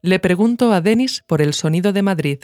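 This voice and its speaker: A woman's voice: lowish voice, animated, brisk, darkish tone, dry recording, studio quality, faint music behind. A woman from narrating a scripted documentary podcast as its host.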